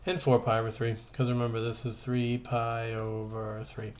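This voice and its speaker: A man's voice: mid-range voice, monotone, normal speed, slight background noise. A man giving an informal math lesson as a teacher, talking conversationally and thinking aloud as he works through steps. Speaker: high school math teacher.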